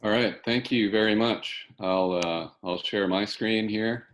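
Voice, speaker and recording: Older man in his sixties, deep flat voice, halting with restarts, speaking on a video call. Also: a little hiss or hum. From South Dakota, US. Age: 60s. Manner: measured, halting, with many restarts. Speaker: panelist, fire scholar. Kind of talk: online panel presentation. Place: home basement.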